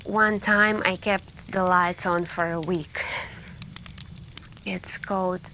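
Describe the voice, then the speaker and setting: A soft-spoken woman telling scary stories in an ASMR style, hushed and intimate with pauses and drifts into whispering. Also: fairly low pitch. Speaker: ASMR roleplay performer playing a friend telling campfire stories. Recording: steady background ambience noise. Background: crackling campfire and night ambience.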